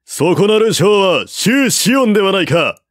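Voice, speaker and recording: A man's voice: high-pitched, very animated, measured pace, dry recording, clean studio sound, pitched above the performer's own voice. A man voicing a proud older male enemy general who declaims haughtily across the battlefield, in a storybook reading. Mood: haughty.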